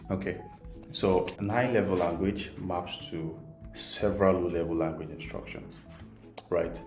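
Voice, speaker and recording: A man's voice: low voice, steady tone, a little slow, a little mellow. A man with a Nigerian accent, a native Yoruba speaker, gives an informal, patient programming tutorial, keeping things simple and often checking in with "right?". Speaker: programming instructor. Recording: roomy echo, faint music underneath.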